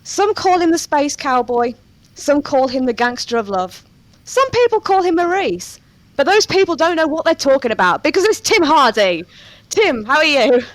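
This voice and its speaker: High-pitched woman hosting a casual podcast. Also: big pitch swings, moderate pace, dry recording, rough recording. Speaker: podcast host. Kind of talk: casual interview chat.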